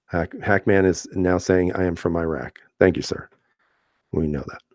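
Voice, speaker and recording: A man hosting a casual live stream, reading viewer comments aloud and answering in a relaxed, chatty way with frequent fillers and easy laughs. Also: deep voice, normal speed, no echo. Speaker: channel host reading live chat.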